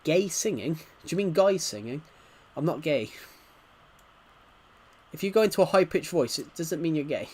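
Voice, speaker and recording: Male livestream host, slightly high-pitched, casual gamer chat, rambling and stuttering as he reads out comments. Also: lively intonation, moderate pace, long pauses, darkish tone, slightly rough.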